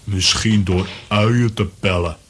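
A man's voice: lowish voice, fairly even intonation, slow pace, a bit bright, slightly rough, full character voice. An actor playing a simple, good-natured strongman in a children's audio play, speaking in short telegraphic phrases. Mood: plodding, earnest. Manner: telegraphic speech without articles.

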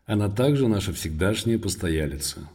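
Deferential, mellow hotel clerk. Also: male voice, low voice, animated, moderate pace, breathy edge, heavily acted. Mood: obliging, assured. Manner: deferential, assured, helpful. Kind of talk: audio drama acting.

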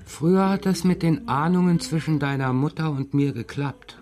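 A man's voice: a little high, moderate pace, music in the background, natural voice. An actor playing a playful, evasive middle-aged father who roams about and writes, with whimsical, hesitant phrasing in a children's radio play. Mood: wry. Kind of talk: German children's radio drama dialogue.

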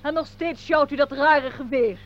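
A woman's voice: high-pitched, normal speed, slight background noise, lightly acted. An actress playing a chatty, fussy, complaining lady in a radio play, on a very tinny phone-like recording. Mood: reproachful. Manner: fussy, exclaiming. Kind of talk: Dutch radio play acting.

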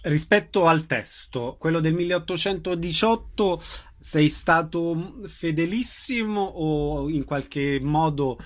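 A man's voice: on the high side, slow pace. A man hosting a conversational interview, putting questions to his guest. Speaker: interviewer, host.

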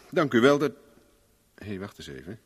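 An actor playing a smooth, affable private investigator with a casual, politely probing manner in a 1970s Dutch radio play. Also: male voice, medium pitch, sing-song, a little slow.

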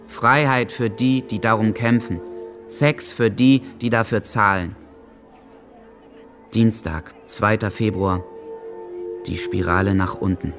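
An actor playing a brooding teenage boy reading diary entries in a measured, deliberate way for a radio documentary drama. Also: on the low side, fairly even intonation. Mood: bleak, subdued. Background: faint music.

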